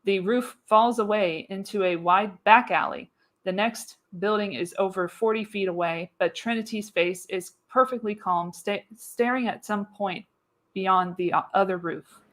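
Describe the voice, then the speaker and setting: A woman narrates a screenplay live as a radio play. Her medium-pitched voice reads the action with dramatic, storytelling emphasis. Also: a little slow, fairly bright tone.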